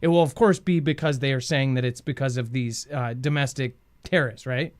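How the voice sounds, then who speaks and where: A man's voice: mid-range voice, steady tone, a little fast. A man hosting a news show with conversational commentary, speaking informally and straight to his viewers. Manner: informal, addresses viewers directly.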